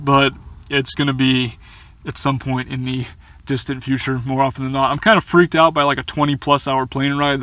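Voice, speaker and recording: A man's voice: medium pitch, sharp tone, no echo. A young man chatting casually through a viewer Q&A from inside a small camper van, rambling with lots of fillers and stumbling restarts. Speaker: van-life vlogger answering viewer questions. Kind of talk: casual Q&A chat.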